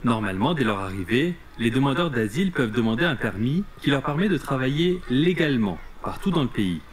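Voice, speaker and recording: A man's voice: medium pitch, very animated, a little fast, slight background noise. A man reading scripted narration for an investigative television news report.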